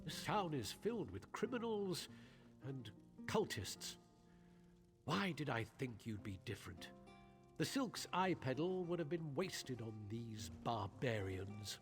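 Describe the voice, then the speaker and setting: An actor playing a scheming, haughty silk merchant in a video game, with a theatrical, wheedling and spiteful delivery. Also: male voice, mid-range voice, very animated, slow. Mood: sneering, bitter. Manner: theatrical, pleading turning to sneering contempt. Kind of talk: dramatic video game voice acting. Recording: game audio with faint music.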